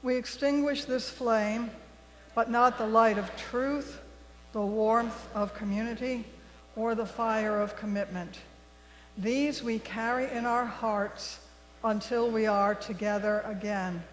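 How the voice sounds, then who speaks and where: Slow-speaking woman leading worship in a hall. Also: fairly high pitch, steady tone. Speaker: worship leader. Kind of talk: liturgical reading and announcements to a congregation. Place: large church sanctuary. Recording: long hall reverb, faint mains hum.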